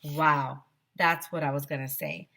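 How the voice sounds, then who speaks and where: A woman's voice: low-pitched, measured pace, pushed and bright, voice pushed down, heavily acted. A woman voicing a cheeky, wisecracking young schoolboy in a children's storybook, chatty and emphatic. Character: wisecracking young schoolboy narrator who hates grown-up stuff.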